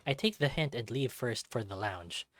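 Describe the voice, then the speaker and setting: A man's voice: medium pitch, expressive, normal speed, fairly bright tone, no room sound. A man reading scripted visual novel narration as the storyteller. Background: music.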